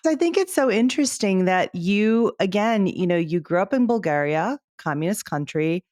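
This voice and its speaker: A woman's voice: mid-range voice, lively intonation, normal speed, a little mellow, no echo, studio quality. A woman hosting a podcast interview, effusive and enthusiastic toward her guest. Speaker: podcast host, doctor.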